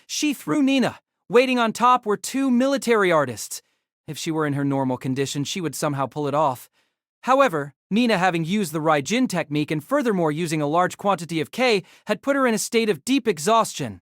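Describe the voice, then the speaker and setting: A woman's voice: lowish voice, lively intonation, fairly quick, dry recording, clean studio sound. A woman narrating a novel in a scripted, dramatic storytelling style.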